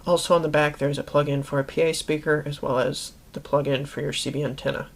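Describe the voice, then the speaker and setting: A man's voice: fairly high pitch, little pitch movement, fast, creaky. A man hosting a how-to video, narrating an instructional product review in a methodical, plainly explanatory way. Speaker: host of a how-to video series.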